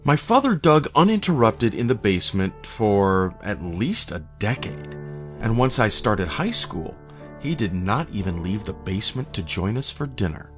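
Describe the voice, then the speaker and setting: A man reading a scripted first-person horror story in a measured, somber, literary manner. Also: lowish voice, big pitch swings, faint music behind.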